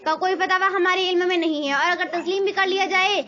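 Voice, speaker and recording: Woman w really high bright voice reading out formal religious text, kinda dull lofi recording. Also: steady tone, a little fast. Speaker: reader of religious rulings. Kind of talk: read-aloud religious text, formal Urdu.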